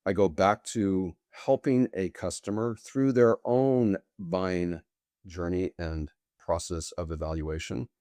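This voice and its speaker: Middle-aged man, very low pitch, warm dark tone, dry close sound, long deliberate answers. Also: fairly even intonation, no room sound. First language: bilingual with Portuguese. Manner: long winding sentences, deliberate. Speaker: guest, sales executive. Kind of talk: conversational interview answers.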